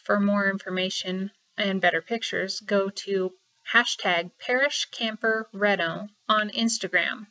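A woman's voice: mid-range voice, steady tone, unhurried, sharp tone, lo-fi sound. A woman in her thirties giving a chatty, upbeat tour of her camper renovation, filmed inside a small camper trailer. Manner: chatty and upbeat, showing things off. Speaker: vlogger. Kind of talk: casual home renovation tour.

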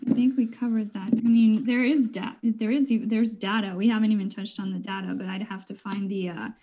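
A woman from Costa Rica debating over a video call, animated and emphatic. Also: medium pitch, brisk, poor audio. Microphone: webcam video call.

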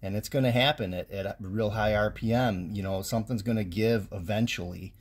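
A man's voice: fairly low pitch, steady tone, brisk, breathy edge. A man giving a casual, plainspoken technical how-to while working on an engine in a garage, filmed close on a handheld phone, with frequent ums and restarts. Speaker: home mechanic. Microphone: handheld phone camera close over engine.

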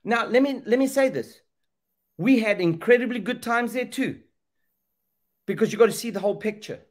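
A man's voice: sits high, normal speed. A middle-aged man from Zambia, speaking with preacher's emphasis on a video call, repeating phrases and asking rhetorical questions. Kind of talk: impassioned preaching talk. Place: indoor room, video call. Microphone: webcam video call.

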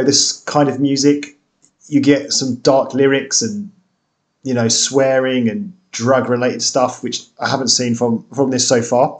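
A British man in his thirties hosting a music reaction video from a small room at home, relaxed and hesitant, with many ums. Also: mid-range voice. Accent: British English. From Britain. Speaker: reaction channel host. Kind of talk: casual reaction chat.

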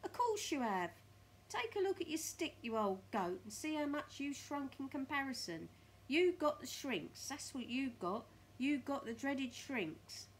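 A woman's voice: high-pitched, very animated, unhurried, slight background noise, pitched above the performer's own voice, exaggerated voice, rough recording. A woman voicing a nasty, bullying husband in a storybook, scornful and emphatic as she taunts. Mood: gloating, taunting. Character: nasty gloating bullying husband, a horrid man.

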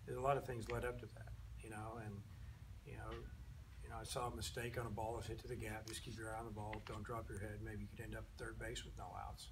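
A man's voice: fairly low pitch, level tone, fast talker. A man coaching a college baseball team, answering reporters after a game in a plain-spoken way, with a lot of "you know" fillers. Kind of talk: postgame press conference answers. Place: outdoor press area with sponsor backdrop. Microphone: table mics, recorded from a distance. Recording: distant mic with outdoor noise.

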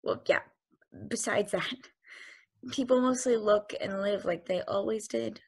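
A woman reading the part of an anxious, inquisitive space probe in a play, halting and questioning, over a video call. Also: medium pitch, breathy edge. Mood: subdued, curious. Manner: halting, questioning, increasingly urgent. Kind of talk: table read of a stage play. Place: home room, over a group video call.

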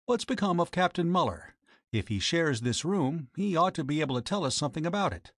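A man's voice: on the high side, lively intonation, brisk, a bit bright, dry recording, clean studio sound, pitched above the performer's own voice. A man voicing a young doctor in an audiobook story, frank, puzzled and emphatic. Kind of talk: audiobook character voice.

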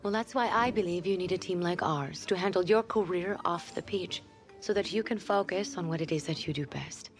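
Businesslike woman agent, actress, persuasive and measured, lowish voice, faint music. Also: close to an everyday voice. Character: poised, persuasive female sports agent. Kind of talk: naturalistic video game cutscene acting.

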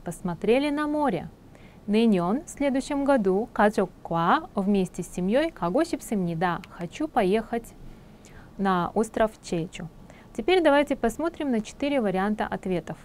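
A young woman leads a Korean exam prep lesson, explaining in Russian. She rattles off each Korean sentence, then slows right down to translate it. Her pitch sits at a middle level for a woman. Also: expressive, slight background noise. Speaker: Korean language teacher.

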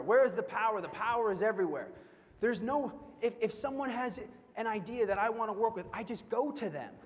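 Animated young man keynoting a convention hall. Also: high voice. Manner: animated, acts out quoted reactions, repeats words when excited. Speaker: keynote speaker. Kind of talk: energetic conference keynote. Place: large convention hall stage. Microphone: headset mic. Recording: long hall reverb.

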